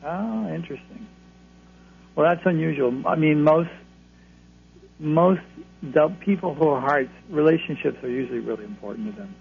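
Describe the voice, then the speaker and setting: Male astrologer guest, reassuring, gravelly, phone-line sound. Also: a little high, lively intonation, moderate pace, pauses often. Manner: reassuring, advisory. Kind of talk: call-in radio readings. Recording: phone line, faint hum.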